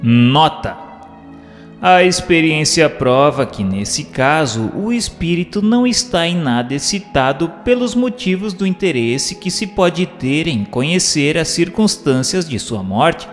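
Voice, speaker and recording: A man's voice: a little high, lively intonation, unhurried. A man reading a script as narrator and questioner, in a formal, declamatory way. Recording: long hall reverb. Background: faint music.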